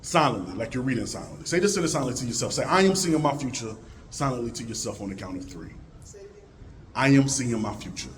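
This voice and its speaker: Deep-voiced male conference speaker, animated motivational delivery, faint hall hum. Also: quick pace. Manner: animated, rousing, swings from loud calls to hushed low tones. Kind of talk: motivational talk to a live audience.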